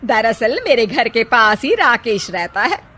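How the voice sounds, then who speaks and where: A woman voicing a scheming, melodramatic middle-aged aunt with a drawn-out, wheedling delivery in a moral story. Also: fairly high pitch, big pitch swings, pushed and bright, full character voice. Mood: sly, wheedling. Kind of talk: Hindi moral story dramatization.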